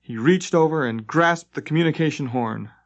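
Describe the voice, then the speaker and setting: A man's voice: fairly high pitch, normal speed. A man reading scripted fantasy narration dramatically, as an audiobook narrator. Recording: dull lo-fi tape transfer.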